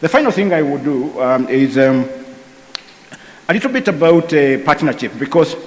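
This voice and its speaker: A man speaking as a panel speaker at a conference, measured and halting with frequent pauses. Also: on the high side, expressive, in a large hall, poor audio. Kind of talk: conference speech. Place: conference hall.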